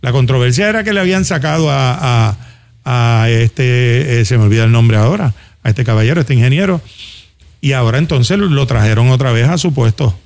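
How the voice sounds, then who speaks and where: A man's voice: medium pitch, animated, brisk, vocal fry, darkish tone. A man with a Puerto Rican accent speaking in a colloquial, conversational way as a talk show host, addressing listeners directly. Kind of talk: casual conversational commentary. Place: studio.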